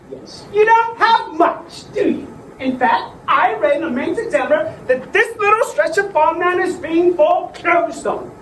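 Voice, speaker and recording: A woman's voice: extremely high pitch, heavily acted. A woman playing a pompous, scornful male sceptic investigator in a theatrical comedy, condescending and prone to exclaiming. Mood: sneering, insinuating. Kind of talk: theatrical comic drama. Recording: echoey, distant, faintly noisy room recording.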